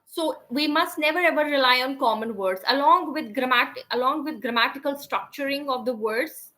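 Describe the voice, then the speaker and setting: A woman's voice: high-pitched, normal speed, sharp tone. A woman with an Indian English accent, an English teacher, answering interview questions in an explanatory way and often checking in with "right?".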